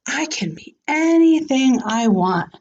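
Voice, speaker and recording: A woman's voice: high-pitched, very animated, slow, a little mellow, lo-fi sound, a little hiss or hum, voice pushed up, heavily acted. A woman voicing an eager, imaginative little schoolgirl from a storybook, in an earnest, childlike manner. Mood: determined.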